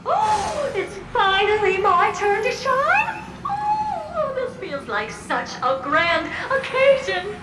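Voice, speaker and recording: A woman's voice: extremely high pitch, huge pitch swings, takes it slowly, full character voice. A woman voicing a cute, showy talking mirror in a video game, bubbly, theatrical and gushing. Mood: gleeful, grand. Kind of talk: video game character voice acting. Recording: echoing distant voice under loud music.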